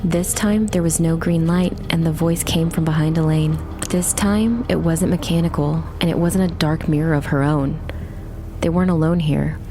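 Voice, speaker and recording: A woman narrating a scripted dark fantasy audiobook in a dramatic storytelling style. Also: sits low, fairly even intonation, normal speed. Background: faint music.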